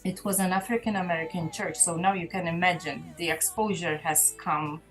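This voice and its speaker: Woman, gospel singer as guest, lowish voice, earnest and reflective, soft music underneath. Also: fairly even intonation, a little fast. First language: Maltese. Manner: earnest, reflective testimony with restarts. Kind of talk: casual interview chat.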